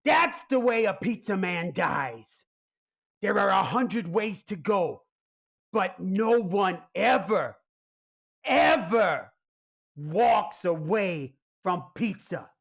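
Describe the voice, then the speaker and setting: An actor playing a stressed, neurotic mob boss in a comic radio play, whiny and exasperated. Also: male voice, high-pitched, lively intonation, slow, creaky, a bit bright, slightly rough, exaggerated voice. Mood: grave, dramatic. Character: stressed, neurotic male mob boss secretly running a pizzeria.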